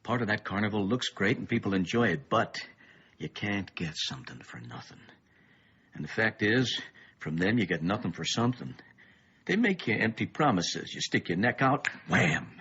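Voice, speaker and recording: Grave middle-aged father, low husky voice. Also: very animated, fairly bright tone, heavily acted. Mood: grave, thoughtful. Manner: measured, ominous explaining. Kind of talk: radio play acting. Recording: dull, muffled lo-fi radio play.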